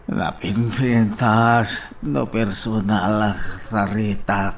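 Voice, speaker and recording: A man acting as a measured but insistent, lovesick boss in an Ilocano radio drama. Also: on the low side, fairly even intonation. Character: insistent lovesick boss pining to see a woman.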